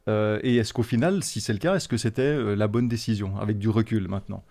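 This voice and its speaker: Casting: male host, mellow tone, halting and chatty. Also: lowish voice. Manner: hesitant, many fillers and restarts. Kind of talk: hosting a Bible study discussion.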